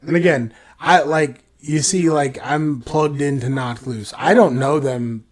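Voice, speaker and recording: A man's voice: on the high side, unhurried. Rambling, enthusiastic podcast co-host man. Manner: rambling with many "like" fillers, bursts of enthusiasm. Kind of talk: casual podcast chat.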